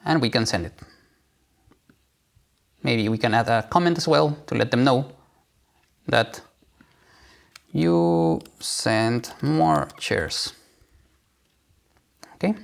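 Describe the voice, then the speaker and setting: Male software presenter, mid-range pitch, creaky edge, informal step-by-step demo delivery. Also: slow, long pauses. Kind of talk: live software demo walkthrough.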